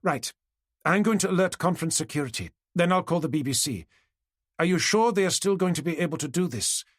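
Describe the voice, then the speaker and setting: A glamorous middle-aged mother, played by a man, in an audiobook, speaking in a raised, lively voice that swings widely. Breathless and edging into shrill cries, with a dry, close sound. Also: fairly quick, no room sound. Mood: brisk.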